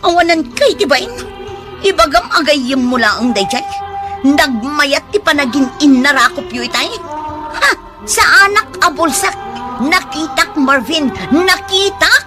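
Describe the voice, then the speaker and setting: A woman's voice: high-pitched, animated, a little slow, music in the background. An actress playing a hurt, jealous young girlfriend in a Filipino radio drama, with a Filipino accent and an emotional, pleading or scolding delivery. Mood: jealous fury. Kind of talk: Philippine radio drama acting.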